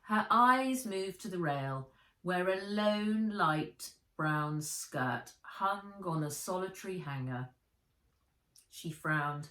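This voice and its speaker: A woman with a slightly low voice reads an adventure story for children. She is expressive and sounds set back from the microphone in a roomy, echoing space. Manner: expressive, acts out the dialogue. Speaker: author reading her own book aloud. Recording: roomy echo, distant from the mic.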